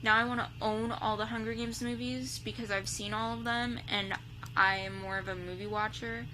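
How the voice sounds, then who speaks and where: A woman's voice: a little high, moderate pace. A young woman chatting casually as a vlogger, rambling with lots of "like" and "um". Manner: chatty, full of "like" and "um" fillers. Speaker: vlogger reacting to a video. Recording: steady background hum.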